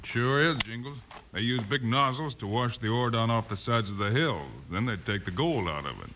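Man playing calm american cowboy lawman, terse, medium pitch, really noisy old radio western. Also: normal speed, natural voice. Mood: calm, decisive. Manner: terse, level-headed. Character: calm, steady heroic western marshal. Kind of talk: 1950s radio western acting.

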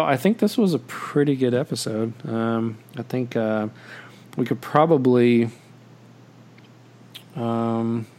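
A man's voice: medium pitch, darkish tone. Rambling male podcast host. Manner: rambling, many fillers and restarts. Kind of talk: casual chat.

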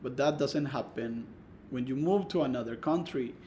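A man with a Salvadoran Spanish accent talks in a relaxed interview, his voice sitting at an ordinary middle pitch. He restarts his sentences often and leans on "like" as he gathers his thoughts. Faint noise hums behind him. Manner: halting, many restarts and "like". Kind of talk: casual conversational interview.

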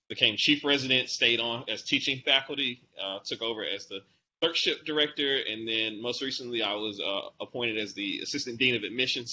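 A man's voice: fairly low pitch, bright, forceful tone. A man in his forties from the American South, a doctor answering interview questions conversationally. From Atlanta, Georgia, United States. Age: 40s. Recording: slightly muffled with faint noise.

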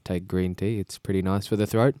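A young Australian man with a smooth, resonant voice sitting a little low in pitch. He talks in a laid-back, rambling way and laughs easily. The sound is close and dry, with no room behind it. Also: fairly even intonation, brisk, dark tone, dry recording. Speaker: podcast co-host. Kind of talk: casual chat. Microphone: podcast microphone.

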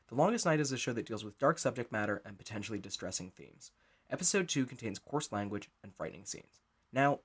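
Male writer-director for a scripted show intro, quick delivery, husky tone. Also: mid-range voice.

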